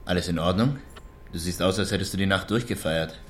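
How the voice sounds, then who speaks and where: An actor playing a wry, observant colleague who chats in a conversational, teasing way in a radio drama. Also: male voice, low-pitched, fairly quick, very noisy, lightly acted. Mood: chatty, wry. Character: chatty, wry male colleague, curious and observant.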